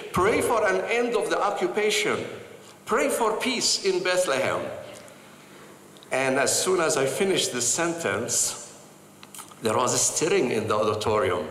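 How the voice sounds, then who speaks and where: An older man from Palestine telling personal stories to an audience with earnest, animated delivery and frequent pauses. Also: a little high, a little hiss or hum. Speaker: speaker. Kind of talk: personal storytelling talk. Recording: echoey hall with light ambience.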